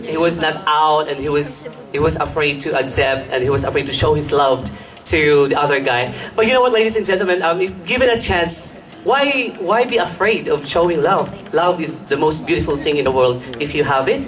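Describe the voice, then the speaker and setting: A young man answers a pageant question on a mall stage, his voice sitting a little high. He gushes and rambles, stumbling through fillers and restarts as he searches for words. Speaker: pageant contestant. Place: live event stage at a mall.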